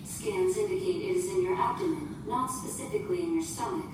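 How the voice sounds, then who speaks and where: A woman's voice: very high voice, a little fast. A woman playing a polite, literal shipboard artificial intelligence in a science fiction audio drama, speaking formally and without emotion. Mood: detached, informative. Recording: roomy echo, distant, heavy noisy ambience.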